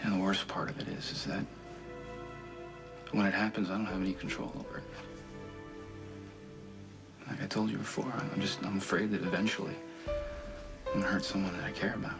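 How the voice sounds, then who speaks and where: A man's voice: sits low, little pitch movement, fairly quick, creaky, pauses often, over music. An actor playing a brooding, impatient wealthy man in a television soap opera. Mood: weary, frustrated.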